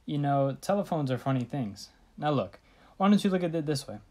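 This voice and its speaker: Woman acting very deep-voiced, dismissive police sergeant. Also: lively intonation, fairly quick, mellow. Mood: placating. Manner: brusque, placating, weary. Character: bored, dismissive New York police desk sergeant. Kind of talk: old radio thriller acting.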